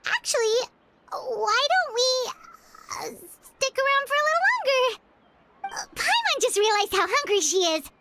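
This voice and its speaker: A woman's voice: extremely high pitch, big pitch swings, a little slow, very noisy, exaggerated voice. A woman voicing a small, childlike, chatty and nervy flying companion in an anime game. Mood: sheepish. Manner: refers to self in third person, exclamatory. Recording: music behind. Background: game music.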